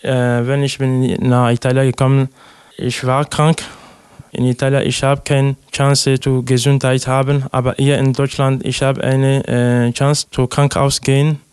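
A man's voice: medium pitch, little pitch movement, moderate pace, a little mellow, clean tone, dry recording, clean studio sound. A young man from Togo answering interview questions in hesitant, simple sentences with many fillers. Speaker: interviewee, refugee. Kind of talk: halting interview answers in learner German.

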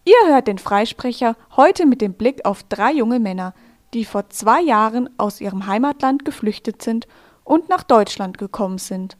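Calm woman narrating a radio feature. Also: on the high side. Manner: calm, measured storytelling. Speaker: radio host. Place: radio studio.

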